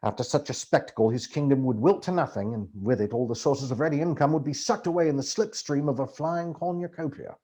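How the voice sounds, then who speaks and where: A man reading a memoir aloud as an amateur audiobook narrator, with theatrical, expressive storytelling. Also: mid-range voice, fairly quick, few pauses. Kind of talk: dramatic literary narration of a memoir.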